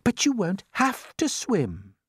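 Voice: mid-range voice, very animated, normal speed, no room sound, clean studio sound, voice pushed up. A performer reading a storybook, voicing a patient, sensible young boy who reasons earnestly. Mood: calm reassurance. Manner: reassuring, reasoning. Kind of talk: storybook character voice.

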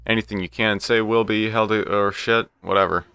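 A man hosting a casual let's play, talking to himself and the game in an excitable, profane way. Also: fairly low pitch, moderate pace, clean tone. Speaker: gaming channel host.

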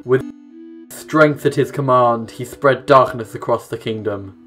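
A man's voice: medium pitch, a little slow, a bit bright. Young man doing casual gaming commentary. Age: young adult. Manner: casual, offhand asides. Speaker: let's play host. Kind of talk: gaming commentary, reading game text aloud. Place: small room at home, indoors. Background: game music with plucked guitar.

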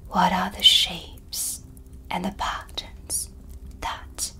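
Woman playing a slow, whispering dark witch. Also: on the low side, flat delivery, slightly rough, full character voice. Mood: mysterious, guiding. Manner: slow, deliberate, hushed, dryly teasing. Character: dark witch, female, mysterious, sardonic, calm teacher of shadow magic. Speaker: ASMR roleplay performer. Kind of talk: soft-spoken whispered ASMR roleplay. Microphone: close studio mic for ASMR.

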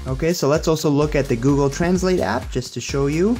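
A man's voice: a little high, fairly quick, darkish tone. Casual upbeat man demoing tech over music. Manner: upbeat, approving. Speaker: tech reviewer. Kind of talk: casual hands-on demo.

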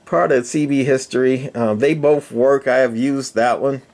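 Older male workshop host, folksy, halting, casual demo talk, mid pitch. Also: steady tone, darkish tone. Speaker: radio repair channel host. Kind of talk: casual equipment demonstration. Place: indoor electronics workshop.

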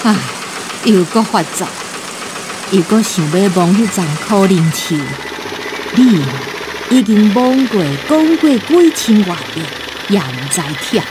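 Slow-talking feisty old Taiwanese wife. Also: female voice, mid-range voice, lively intonation, background noise. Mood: grumbling. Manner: nagging, earthy. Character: feisty elderly farm wife, grumbling but fond. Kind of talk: radio drama acting.

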